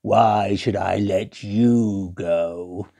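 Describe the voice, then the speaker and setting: A man voicing a huge, boastful male lion who roars and speaks slowly and grandly. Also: fairly even intonation, vocal fry, mellow, exaggerated voice. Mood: mocking. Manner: roaring, slow and booming.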